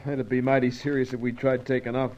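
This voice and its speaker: An actor playing a burly, brooding American pilot with a cynical streak, talking in gruff, blunt slang, in a radio drama. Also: male voice, mid-range voice, flat delivery, moderate pace, darkish tone, a little hiss or hum, lightly acted. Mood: wry. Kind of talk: 1950s radio drama acting. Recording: dull lo-fi old radio recording.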